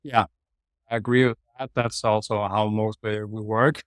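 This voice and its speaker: A man's voice: lowish voice, fairly even intonation, moderate pace, smooth, no echo, studio quality. A man with a Danish accent, a sales manager giving direct, plain-spoken answers as an interview guest over a video call. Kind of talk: casual interview talk.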